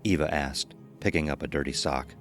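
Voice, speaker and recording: Ominous male storyteller actor, extremely low register, narrating audio horror over faint music. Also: moderate pace.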